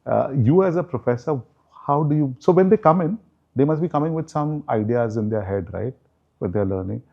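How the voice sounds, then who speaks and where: A man's voice: mid-range voice, lively intonation, fairly quick, gravelly, lo-fi sound. A man hosting an interview, talking in a conversational way and often adding "you know" and "right?". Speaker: host, interviewer. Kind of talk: interview chat.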